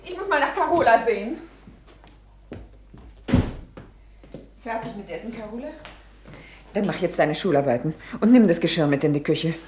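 An actress plays a worried mother in a radio drama, worn down and anxious. Her comes in a middle-pitched woman's voice. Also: expressive, fast, background noise, lightly acted. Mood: anxious, irritated. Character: anxious, worn-down mother of a missing small boy. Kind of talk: German radio drama acting.

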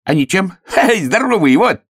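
Excitable high-pitched cartoonish hare. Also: male voice, slow pace, no room sound, clean studio sound, exaggerated voice. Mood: cheerful, boastful. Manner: blurting, exclamatory, easily astonished. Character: excitable, naive, boisterous young male hare.

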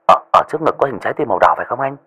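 A man's voice: mid-range voice, lively intonation, pushed and bright, husky, voice pushed up. A man voicing a nervous young underling speaking hesitantly on the phone. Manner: hesitant, hurried.

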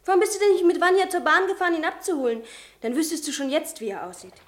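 A rebellious young daughter of an aristocratic house in a radio drama. Her voice is very high, her manner scornful and sharp-tongued. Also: animated, fast, a little hiss or hum. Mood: defiant. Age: young adult. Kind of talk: German radio drama acting.

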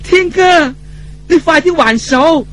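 A man's voice: extremely high pitch, lively intonation, takes it slowly, a bit bright, pitched above the performer's own voice, full character voice. A man voicing an anxious young woman in a Cantonese audiobook, urgent and pleading. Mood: alarmed, urgent.

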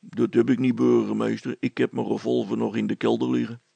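A man's voice: medium pitch, level tone, brisk, creaky, warm tone, slightly rough, no echo. A man voicing an obedient, plain-spoken village constable in a storybook reading, deferential and earnest.

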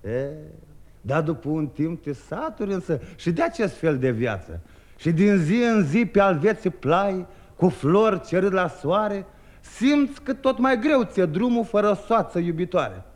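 A boastful young peasant trickster, high, swooping delivery, old radio play. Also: male voice. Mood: wistful. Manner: lively, boastful, chuckling rhymed telling. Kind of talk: 1950s radio drama, rhymed storytelling.

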